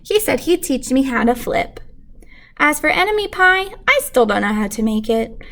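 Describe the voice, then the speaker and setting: Higher-pitched woman reading a children's story, creaky edge. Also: sing-song, normal speed, fairly bright tone. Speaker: teacher reading aloud. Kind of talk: expressive children's storybook read-aloud.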